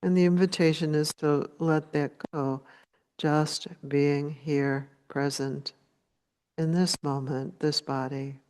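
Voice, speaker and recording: A woman meditation teacher guides listeners at a notably slow pace. Her delivery is gentle and unhurried, with short phrases set apart by long pauses. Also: deep voice, steady tone, rough recording.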